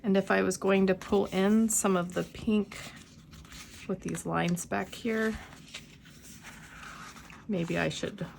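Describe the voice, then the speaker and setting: A middle-aged woman with a cold and a slightly hoarse voice, musing aloud in a casual plan-with-me vlog at home. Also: mid-range voice, steady tone, darkish tone, a little hiss or hum. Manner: unhurried, musing aloud while crafting. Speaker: planner vlogger. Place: quiet home.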